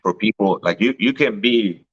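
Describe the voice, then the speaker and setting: Low-voiced guy who grew up in mexico, rambling stories, dull lo-fi sound. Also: normal speed, a little hiss or hum. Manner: storytelling, repeats words, laughs often. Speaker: guest. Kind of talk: casual chat.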